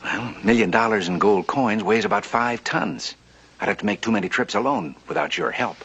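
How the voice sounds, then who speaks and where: An actor playing a smooth, scheming banker in a 1950s television western, dry and persuasive in the way he talks. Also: fairly low pitch, a little fast, fairly bright tone. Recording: old TV soundtrack with faint music.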